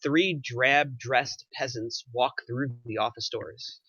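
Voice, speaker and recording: A man narrates a screenplay's stage directions in a bright, forceful voice at medium pitch. The audio is dry and a little dull, like a lo-fi stream. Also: fairly even intonation, slow pace, slightly rough, no echo.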